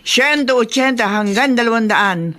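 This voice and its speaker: A woman's voice: on the high side, moderate pace, fairly bright tone, close to an everyday voice. An actress playing a wheedling, guilty middle-aged mother of a grown son in a Tagalog radio drama. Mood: chatty.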